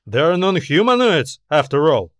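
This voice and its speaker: An earnest young space marine acted out by a male narrator for a sci-fi audiobook. The voice is raised and closely recorded, eager and a touch self-important, quick to take offence. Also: on the high side, sing-song, no room sound, clean studio sound, voice pushed up. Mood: baffled. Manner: cheerful, eager, indignant and condescending.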